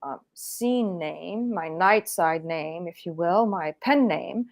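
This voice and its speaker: A woman speaking as an interview guest in a measured, deliberate way, with frequent pauses. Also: on the low side, dry recording, clean studio sound. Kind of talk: reflective interview talk.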